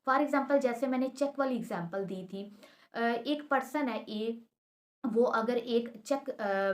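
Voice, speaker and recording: A woman giving an explanatory law lesson, patiently going step by step and repeating points as she teaches. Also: on the high side. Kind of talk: explanatory lesson, reading statute text aloud then explaining with examples.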